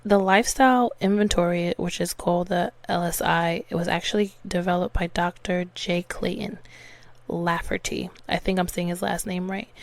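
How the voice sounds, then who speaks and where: A woman's voice: lowish voice, steady tone, moderate pace, vocal fry. A young woman hosting a casual solo podcast, talking in a rambling way full of ums and likes, her voice a little congested from a cold. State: slightly congested, small cough. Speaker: podcast host.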